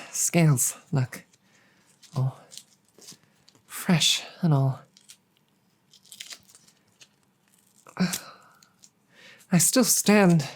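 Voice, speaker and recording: A woman playing a proud but bashful dragon girlfriend in an intimate audio roleplay, with formal wording, a breathy voice and halting, sighing delivery. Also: low-pitched, full character voice. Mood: shy, pleased. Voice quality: husky, breathy. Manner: formal wording, hesitant, halting, soft sighs.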